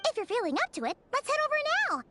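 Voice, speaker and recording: A woman voicing a small, excitable, childlike fairy companion in a video game, with a squeaky, chirpy voice. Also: racing, heavy background noise. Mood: cheerful. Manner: chirpy, speaks of herself in third person. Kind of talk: video game character dialogue.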